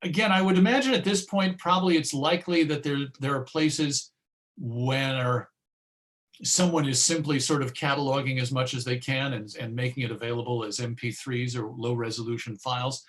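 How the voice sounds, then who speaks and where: A man's voice: mid-range voice, fairly even intonation, a bit bright. Hesitant, musing man presenting. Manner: hesitant, musing, pausing mid-phrase. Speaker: presenter and old-time radio collector. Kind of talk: informal online talk with audience questions.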